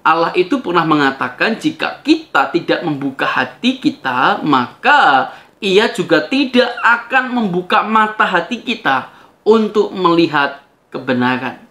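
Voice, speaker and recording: A man's voice: sits high, animated, normal speed, sharp tone. A man speaks straight to his viewers like a preacher making a rebuttal. His delivery is emphatic and challenging, leaning on pointed rhetorical questions.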